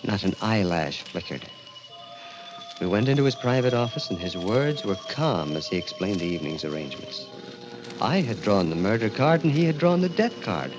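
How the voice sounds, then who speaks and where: An actor playing a grim, vengeful young American man, narrating in a measured, brooding 1940s radio style. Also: lowish voice, sing-song, poor audio. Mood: grim, eager. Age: young adult. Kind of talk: 1940s radio acting, dramatic first-person narration. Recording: old radio broadcast with dramatic music.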